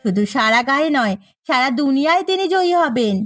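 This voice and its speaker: A woman playing a put-upon, exasperated daughter-in-law and housewife in a Bengali cartoon, speaking in a complaining, animated manner. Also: high-pitched, brisk. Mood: indignant complaining. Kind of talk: Bengali moral-story cartoon dubbing. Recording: faint music behind the voice.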